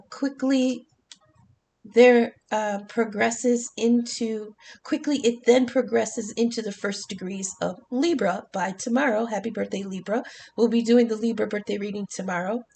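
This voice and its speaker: A woman's voice: fairly high pitch, vocal fry, warm tone, a little hiss or hum. A woman with a congested voice giving a chatty tarot and astrology reading, full of ums and restarts, cursing now and then. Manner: swears casually. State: congested from the flu. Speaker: tarot reader.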